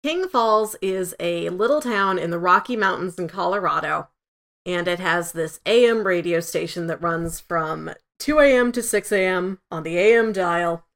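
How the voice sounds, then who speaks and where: A woman's voice: fairly low pitch, moderate pace, fairly bright tone. A woman co-hosting a review podcast in a casual, conversational way, peppering her sentences with "like". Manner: conversational, frequent "like" asides. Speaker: podcast co-host.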